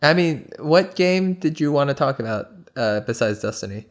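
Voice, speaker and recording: A man's voice: a little high, animated, moderate pace. A man co-hosting a podcast, chatting casually about games, rambling with plenty of fillers and frequent laughs, his nose a little stuffy. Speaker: podcast co-host. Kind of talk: casual gaming chat.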